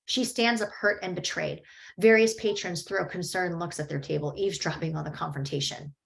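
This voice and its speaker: A woman narrates the stage directions of a screenplay in a steady, measured way. Her voice sits slightly low. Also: vocal fry. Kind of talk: screenplay table read narration.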